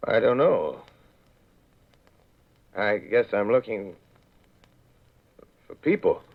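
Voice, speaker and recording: An actor playing a weary, educated survivor of an alien invasion, speaking solemnly and deliberately in 1930s radio drama style. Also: male voice, fairly low pitch, sing-song, vocal fry, exaggerated voice. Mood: weary, wary. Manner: solemn, deliberate, literary narration. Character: weary, solitary educated man wandering after Martian invasion. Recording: narrow, tinny 1938 radio broadcast recording.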